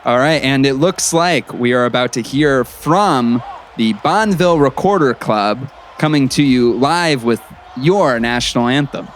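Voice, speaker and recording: A man's voice: mid-range voice, unhurried, lightly acted. A man playing an earnest small-town radio play-by-play announcer in a comedy sports broadcast. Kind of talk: sports commentary in audio comedy. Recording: crowd and gym noise behind. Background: crowd, bouncing basketball.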